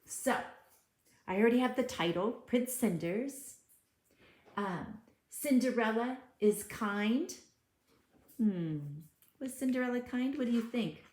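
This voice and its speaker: A woman's voice: fairly high pitch, a little hiss or hum. A woman teacher giving a read-aloud lesson, talking to young children and putting questions to them. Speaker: elementary school teacher. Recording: echoey room.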